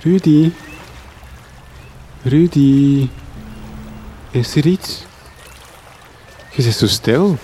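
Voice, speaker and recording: An actor playing an earnest, wordy Flemish reporter hero in a comic radio drama. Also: male voice, medium pitch, very slow, pauses often, warm tone, soft background music. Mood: concerned.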